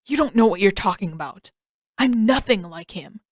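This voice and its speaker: A woman's voice: mid-range voice, lively intonation, moderate pace, dry recording, clean studio sound, natural voice. A woman voicing a proud, hot-tempered teenage prince in an audio story, curt and defensive. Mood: angry, defensive.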